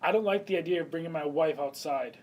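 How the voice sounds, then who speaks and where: A man's voice: a little high, fast, a little mellow, lightly acted. A man playing a stern, protective husband in an amateur student radio play. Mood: worried. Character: John, stern, protective husband on a wilderness trip.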